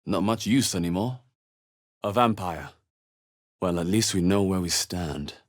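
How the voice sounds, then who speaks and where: A man voicing a wary, observant fantasy adventurer hero in a video game, with a husky voice and a wry, measured delivery. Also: deep voice. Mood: curious, musing. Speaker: video game player character. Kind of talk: video game voice lines, short reactive remarks.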